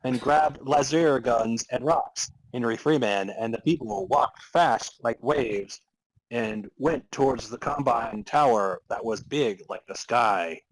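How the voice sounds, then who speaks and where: A man's voice: medium pitch, slow pace, no room sound, poor audio. A man co-hosting a comedy podcast, reading aloud theatrically and chatting casually, often amused. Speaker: podcast co-host. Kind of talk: dramatic reading of fan fiction and casual banter.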